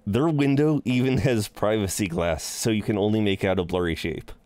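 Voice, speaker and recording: A man playing a courteous, chatty incubus innkeeper in an intimate audio roleplay, with polite, hesitant pauses and a flirtatious streak. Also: fairly low pitch, darkish tone, natural voice. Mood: courteous, amused. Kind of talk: intimate scripted roleplay acting.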